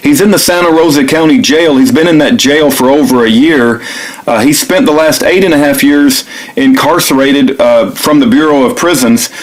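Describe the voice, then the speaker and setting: A man with a somewhat high voice speaks in an earnest, informal register, like an activist making a call on camera. His delivery is halting and repetitive, with a pleading insistence. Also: rough recording. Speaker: activist video maker recording himself making a phone call. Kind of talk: earnest phone message and informal narration to viewers.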